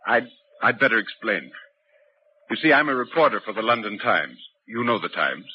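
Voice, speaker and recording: An actor playing a curious, uneasy newspaper reporter telling a ghost story in 1940s radio drama style. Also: male voice, on the low side, very animated, normal speed, breathy edge. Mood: curious, hesitant. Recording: dull, noisy 1940s radio recording.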